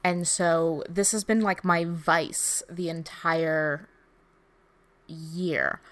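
Relaxed woman podcast co-host. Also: on the low side, extremely slow. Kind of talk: casual chat.

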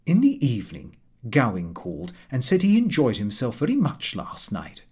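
A man's voice: medium pitch, wildly swooping pitch, moderate pace, darkish tone, breathy edge. A man narrating a comic first-person audiobook in a prim, precise, slightly aggrieved manner.

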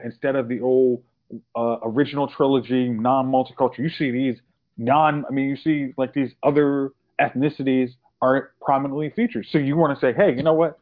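A man co-hosting a casual podcast, rambling through long explanations with many restarts. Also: medium pitch. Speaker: podcast co-host. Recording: tinny phone-like sound.

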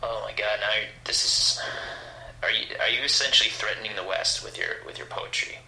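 A man's voice: lowish voice, normal speed, pushed and bright. Stammering nervous male interviewer. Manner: hesitant, stammering, nervous asides. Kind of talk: comedic sketch interview. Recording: roomy echo, faint background hum.

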